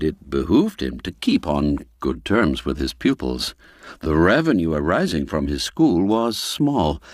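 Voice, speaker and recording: A man narrating a classic literary tale in a measured, expressive storyteller's manner. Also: extremely low pitch, dry recording, clean studio sound. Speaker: narrator and audiobook reader.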